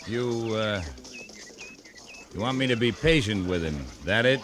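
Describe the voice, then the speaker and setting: An actor playing a stern, seasoned cavalry captain with clipped, dry authority, in 1950s radio western style. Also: male voice, fairly low pitch, lively intonation, measured pace, noisy background. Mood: stern, impatient.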